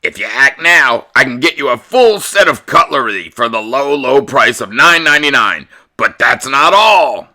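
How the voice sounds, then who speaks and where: Man voicing a slick, animated talking TV set. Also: medium pitch, moderate pace, few pauses, voice pushed up. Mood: salesman pitch. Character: smooth-talking, sales-pitching, fatherly television set come to life.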